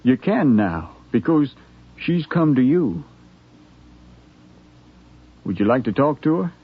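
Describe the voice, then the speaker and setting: A husky, coaxing frontier townsman. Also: medium pitch, very animated, takes it slowly, full character voice. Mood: coaxing, concerned. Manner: folksy, hesitant, coaxing. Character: friendly, concerned frontier townsman, plain-spoken and persuasive. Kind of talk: 1950s radio drama acting. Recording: thin, phone-like 1950s radio broadcast.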